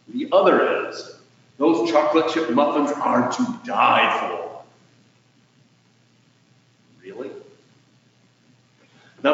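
An American man preaching a sermon as a pastor, speaking deliberately with rhetorical questions and pauses for emphasis. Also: on the high side, fairly bright tone. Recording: echoey hall, distant mic, muffled sound.

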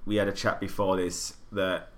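British guy, lowish voice, rambling jokey podcast chat. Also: level tone, normal speed, a bit bright. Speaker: podcast co-host. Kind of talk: casual chat.

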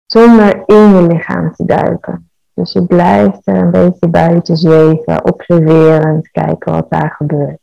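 A woman leading a guided meditation in a calm, measured, soothing manner. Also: on the low side, vocal fry, no room sound, dull audio.

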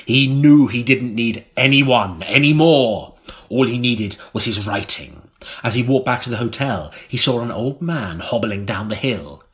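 A man's voice: medium pitch, fairly bright tone. Melodramatic male audiobook narrator. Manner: melodramatic, deliberate delivery. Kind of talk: mock-serious scripted gothic narration. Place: recording studio.

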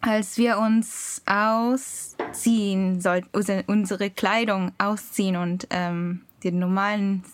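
Podcast co-host: young woman, unhurried and word-searching, giggly, recorded very dry. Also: mid-range voice, fairly even intonation, dry recording. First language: Japanese. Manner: halting, searching for words, giggly. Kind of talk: casual personal storytelling.

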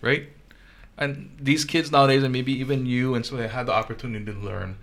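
A man's voice: mid-range voice, fairly even intonation, a little fast, fairly bright tone. A middle-aged man from Hawaii, talking animatedly and laughing in a casual chat.

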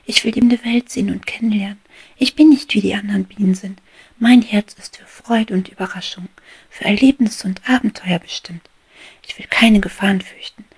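A woman voicing an eager, bold young female bee in a storybook reading, speaking in an excited half-whisper that rises louder. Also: medium pitch, normal speed, slightly rough, voice pushed up. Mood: defiant joy. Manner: excited soft whisper turning loud.

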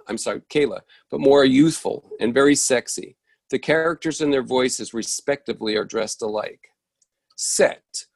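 A man's voice: medium pitch, dry recording. A man reading a play's stage directions aloud, occasionally stumbling over words and correcting himself. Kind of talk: reading a play script aloud.

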